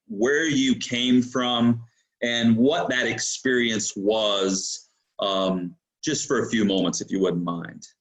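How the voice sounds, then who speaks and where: A man's voice: mid-range voice, rough recording. Enthusiastic rambling male host. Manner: enthusiastic, rambling with fillers and restarts. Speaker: podcast host. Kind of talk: interview hosting.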